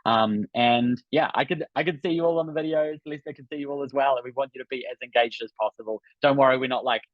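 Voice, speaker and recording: A man's voice: medium pitch, quick pace, dry recording, dull audio. A man with a New Zealand accent, hosting a live online coaching summit in an upbeat, encouraging, chatty way. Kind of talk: live webinar talk to an audience. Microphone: video call.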